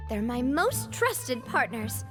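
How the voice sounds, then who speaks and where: High-voiced, expressive young woman leader. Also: slow, faint music behind, exaggerated voice. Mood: composed. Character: poised, warm young woman leading a loyal gang. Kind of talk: scripted video game voice acting.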